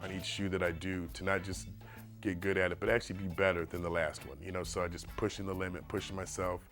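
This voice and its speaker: Deep-voiced man with soft music behind. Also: fast talker. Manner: relaxed, rambling with many fillers. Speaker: shoe painter. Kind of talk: documentary interview.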